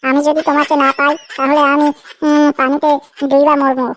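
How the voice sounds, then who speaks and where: A very high, fairly even-toned young Bengali girlfriend from a comedy cartoon, acted by a woman. She is coy, demanding and quick to take offence, pleading and repeating herself. Some noise sits behind the voice. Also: lightly acted.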